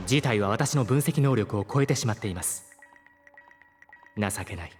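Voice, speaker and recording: An actor playing an earnest, guileless artificial intelligence in an audio drama, speaking formally and courteously with open feeling. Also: male voice, fairly low pitch, expressive, moderate pace, soft background music, close to an everyday voice. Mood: ashamed, helpless. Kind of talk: Japanese audio drama acting.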